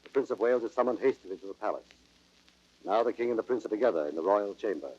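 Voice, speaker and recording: A man's voice: on the low side, expressive, quick pace, a little mellow, breathy edge. A man narrating an audio play in a grave, formal, dramatic storytelling style. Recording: dull, band-limited old record sound.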